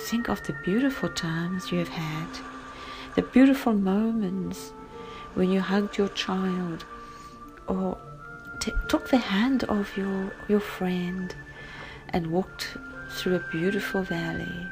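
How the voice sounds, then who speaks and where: A woman narrating a guided sleep story in a gentle, soft and breathy voice, often close to a whisper, with long pauses. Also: mid-range voice, rough recording. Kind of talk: soothing bedtime story, guided relaxation. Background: music.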